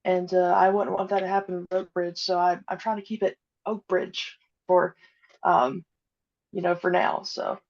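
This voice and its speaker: A woman's voice: on the low side, flat delivery, normal speed, a little mellow. Hesitant woman on a dull lo-fi line. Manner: hesitant, many ums and uhs. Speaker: podcast guest, audio drama creator. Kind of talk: casual interview chat. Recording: dull lo-fi audio.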